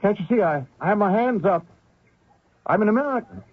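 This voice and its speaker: Desperate fugitive, tinny radio sound. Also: male voice, high voice, expressive, brisk, breathy edge, no room sound, exaggerated voice. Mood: pleading, exhausted. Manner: dramatic first-person narration with strained pleading. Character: desperate wounded American fugitive soldier recounting his escape. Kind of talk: old-time radio drama acting. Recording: tinny old radio broadcast with music.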